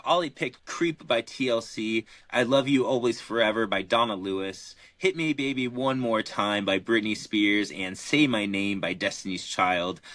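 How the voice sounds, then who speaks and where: A young man co-hosting a podcast in a relaxed, casual way, stretching his words out with fillers. His voice sits a bit low but sounds bright. The sound is dry and close. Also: dry recording. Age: young adult. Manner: relaxed, drawn-out picks with fillers. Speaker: podcast co-host.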